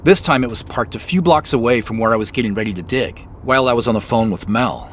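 An actor playing an inquisitive, slightly sheepish amateur sleuth in a naturalistic audio drama, talking in an easygoing, conversational way. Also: male voice, mid-range voice, fast, natural voice. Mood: puzzled, earnest. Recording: faint vehicle noise behind.

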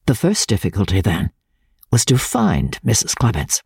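A woman with a low, husky voice gives a dramatic reading of classic literature, with deliberate phrasing and formal diction. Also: huge pitch swings, dark tone, no room sound, studio quality. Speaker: audiobook narrator and radio reader.

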